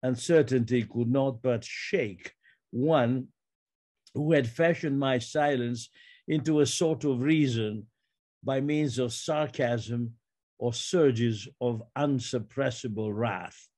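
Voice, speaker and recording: An elderly man, introducing and reading his poetry in a halting, deliberate way. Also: medium pitch, a little mellow, no echo. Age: 70s or older. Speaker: poet and curator. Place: book-lined study, indoors. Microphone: computer mic on video call.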